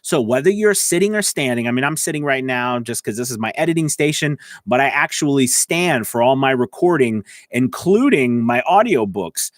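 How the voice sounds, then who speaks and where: A man's voice: mid-range voice, animated, moderate pace, hardly any pauses, no room sound, clean studio sound. A man giving an informal lesson as a voice-over coach, explaining conversationally and often checking in with the listener. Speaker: voice-over coach and working voice actor. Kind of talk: informal instructional talk to camera. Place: editing station indoors.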